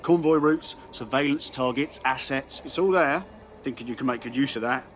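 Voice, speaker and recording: A man's voice: mid-range voice, moderate pace, a little hiss or hum, natural voice. A man playing an earnest, defiant resistance informant in a video game, with a matey manner. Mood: urgent. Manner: conspiratorial, keen, matey.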